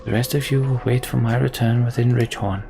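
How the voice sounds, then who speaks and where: Man voicing a hushed, solemn mystic woman. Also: medium pitch, fairly even intonation, fairly quick, vocal fry, noisy background, close to an everyday voice. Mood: solemn, calm. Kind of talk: reading game dialogue aloud.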